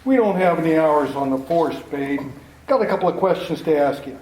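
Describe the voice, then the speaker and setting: A man playing a gruff, high-voiced police lieutenant. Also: lively intonation, brisk, noisy background, natural voice. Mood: brusque. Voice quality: gruff, brusque. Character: blunt, hostile male police lieutenant. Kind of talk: 1940s radio acting. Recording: roomy 1940s radio broadcast.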